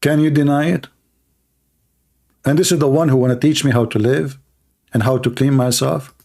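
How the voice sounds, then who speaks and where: A man's voice: medium pitch, fairly even intonation, normal speed, creaky, darkish tone. A man with an Arabic accent hosting a debate livestream, sarcastic and combative. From Middle East. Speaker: host.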